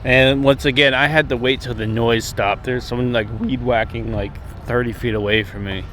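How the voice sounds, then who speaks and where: A man's voice: mid-range voice, fairly even intonation, moderate pace, fairly bright tone. American man vlogging outdoors over background noise. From United States. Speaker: amateur radio vlogger. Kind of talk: casual instructional vlog to camera. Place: outdoors, open park path beside water. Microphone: camera held at arm's length. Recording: outdoor recording with traffic and machinery noise. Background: jets, vehicles, urban outdoor noise.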